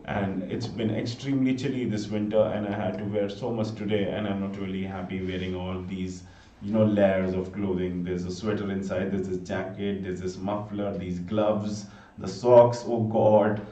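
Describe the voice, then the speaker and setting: A man from India, Hindi his first language, speaking English with an Indian accent as he gives chatty solo live cricket commentary, bursting into excited exclamations and repeatedly pleading for subscriptions. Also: sits low, moderate pace. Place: home room, bundled up against the cold. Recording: echoey room, distant mic, dull lo-fi sound.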